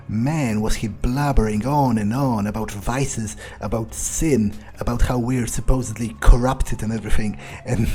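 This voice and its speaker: A man roleplaying a jaded, ruthless, arrogant vampire in a game, speaking in a halting, dismissive, sighing way. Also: medium pitch, warm tone, close to an everyday voice. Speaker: video game player roleplaying his character. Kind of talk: in-character improvised playthrough monologue.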